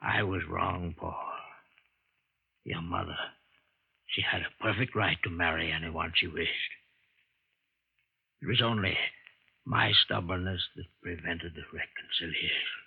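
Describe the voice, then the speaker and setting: Older male, dying rich grandfather, deep husky rasp, tinny old broadcast sound. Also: full character voice. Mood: remorseful. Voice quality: gravelly, husky. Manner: slow, weary, pleading. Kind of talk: 1940s radio acting.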